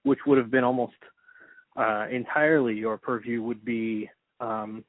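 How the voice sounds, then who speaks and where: A man interviewing conversationally, with a tentative, halting and deferential manner. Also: mid-range voice, dry recording, narrow, tinny audio.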